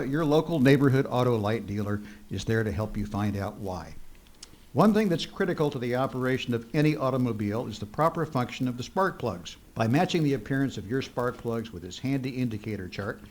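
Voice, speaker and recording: Actor as male radio ad announcer, 1940s style, folksy, friendly, conversational salesman, mid pitch. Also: close to an everyday voice. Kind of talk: scripted old-time radio advertisement.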